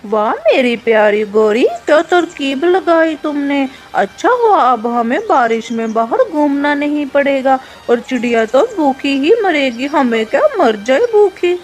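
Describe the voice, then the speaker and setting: A woman's voice: sits high, animated, a little slow, few pauses. A woman voicing a gloating, callous male crow villain with a mocking manner in a children's cartoon. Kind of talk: children's cartoon story acting.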